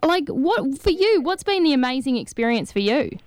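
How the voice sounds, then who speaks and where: A woman hosting a breakfast radio show, quick and teasing in a morning chat. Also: high-pitched, very animated, a little mellow, no echo.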